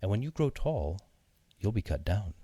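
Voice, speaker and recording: Man as a low-voiced, measured storybook cat. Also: big pitch swings, mellow, breathy edge, no room sound. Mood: blunt. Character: calm, blunt male cat, proud and practical.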